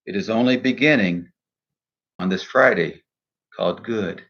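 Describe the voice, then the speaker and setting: A man speaking in a measured, earnest, deliberate way, in the style of a devotional poetry reading and reflection. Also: lowish voice, a bit bright, muffled recording. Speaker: minister and poet reading own poem.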